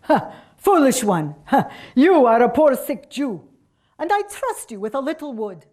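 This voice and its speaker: A woman voicing a gruff, hearty male peasant woodseller with a Russian accent, speaking emphatically in a told folk tale. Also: high-pitched, very animated, measured pace, mellow, voice pushed up, heavily acted. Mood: loud, scolding.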